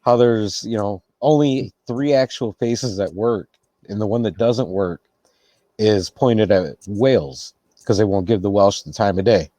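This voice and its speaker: Relaxed male interview host, low-pitched, dry close-mic sound. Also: a little slow, dry recording. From United States. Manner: relaxed, laughs easily, reflective. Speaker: podcast host. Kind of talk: casual interview.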